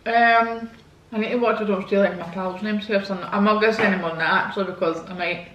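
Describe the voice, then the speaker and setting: A woman's voice: mid-range voice, fairly even intonation, fast talker, a bit bright, echoey room, slight background noise, poor audio. A woman, chatting frankly and casually to her viewers while answering their questions. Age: 20s. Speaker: vlogger. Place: home, indoors. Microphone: camera mic.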